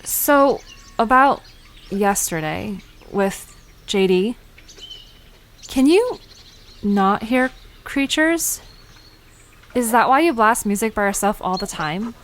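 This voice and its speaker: A woman playing a curious, outspoken young woman in an audio drama, informal and questioning, sometimes halting mid-sentence. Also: mid-range voice, animated, slight background noise. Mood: probing. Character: Alina, curious, outspoken young woman learning about mythical creatures.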